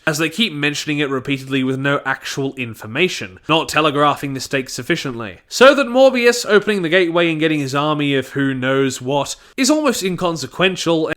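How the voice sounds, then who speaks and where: A man with a fairly high voice narrates a scripted review. His intonation is very lively, rising and dipping often. He sounds opinionated and slips in jokey asides. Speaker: audio drama reviewer.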